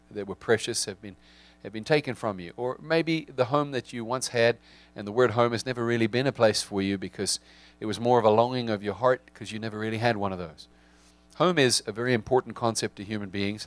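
A man in his forties with a South African accent, giving a reflective sermon with frequent restarts and pauses. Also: fairly low pitch. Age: 40s.

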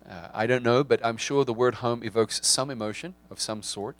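A man in his forties with a South African accent, giving a reflective sermon with frequent restarts and pauses. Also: medium pitch, steady tone, dry recording. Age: 40s.

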